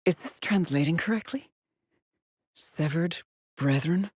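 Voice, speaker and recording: An actress playing a haunted, driven female astronaut in a video game, brooding and introspective in measured solitary log monologues. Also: on the low side, darkish tone, husky, dry recording, clean studio sound. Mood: uneasy wonder. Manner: slow, measured, brooding delivery with pauses.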